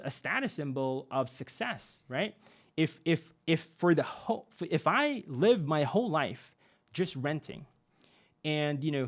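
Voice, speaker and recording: A young adult man, a podcast guest and marketing expert, explaining in a conversational way with frequent fillers and a repeated "right?". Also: fairly high pitch. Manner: animated, many fillers and tag "right?".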